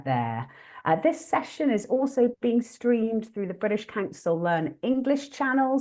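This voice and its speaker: A woman hosting an interactive online teaching workshop, talking in a friendly, lively way with many fillers and restarts. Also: mid-range voice. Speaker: workshop host.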